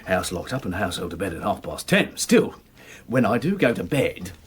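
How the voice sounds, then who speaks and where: An actor playing a gossipy working-class manservant in a radio drama, complaining colloquially over drinks. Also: male voice, low-pitched, very animated, moderate pace, slight background noise. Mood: grumbling.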